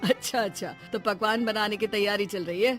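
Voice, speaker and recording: A woman's voice: medium pitch, moderate pace, faint music behind, natural voice. A woman voicing a teasing, chatty, sceptical neighbour in a cartoon moral story. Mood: teasing, curious.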